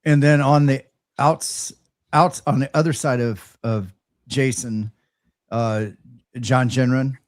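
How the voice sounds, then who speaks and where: A man speaks in a relaxed, chatty storytelling style as a show host. His delivery is halting, with words often repeated as he goes. The sound is dry, with very little room echo. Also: mid-range voice. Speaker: host of a live ham radio show. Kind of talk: casual chat, storytelling.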